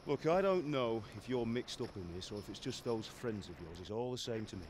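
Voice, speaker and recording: A man's voice: medium pitch, animated, brisk, a little mellow, slight background noise, natural voice. An actor playing a stern, proud middle-aged blacksmith father in a video game, plain-spoken and admonishing. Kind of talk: video game cutscene acting. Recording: game music behind the voice.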